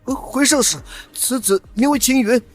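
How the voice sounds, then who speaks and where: A man voicing an agitated henchman of an evil cultivation sect in an animated fantasy drama. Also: very high-pitched, normal speed, faint music behind. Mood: panicked, deferential. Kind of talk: animated xianxia drama dubbing.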